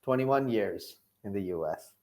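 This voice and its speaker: A man's voice: lowish voice, lively intonation, a little slow, mellow. A man in his thirties from Nepal, with a Nepali accent, answering as an interview guest in an easygoing way, often with "you know" and "like".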